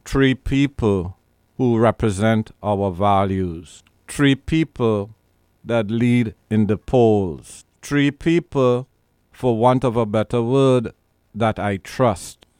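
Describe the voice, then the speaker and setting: A man's voice: on the low side, slow, darkish tone, clear, resonant tone, dry recording, clean studio sound. A man born in the Caribbean hosting a radio talk show, speaking emphatically with a lot of repetition. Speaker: radio show host and lawyer.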